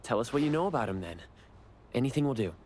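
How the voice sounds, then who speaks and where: A man's voice: mid-range voice, animated, quick pace, a little mellow, a little hiss or hum, lightly acted. A man voicing a cool, reserved young investigator in an anime game, terse and matter-of-fact. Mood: blunt.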